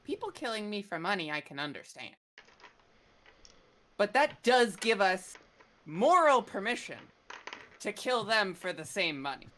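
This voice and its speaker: A woman with a mid-pitched voice plays a tabletop game. She talks casually and bluntly, often asking questions. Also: big pitch swings, measured pace, pauses often, a bit bright, a little hiss or hum.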